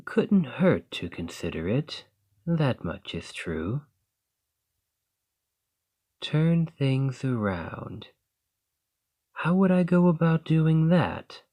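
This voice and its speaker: A man voicing a proud male lamia noble in an ASMR roleplay, speaking in a formal, measured and wry way. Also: fairly low pitch, a little mellow, heavily acted.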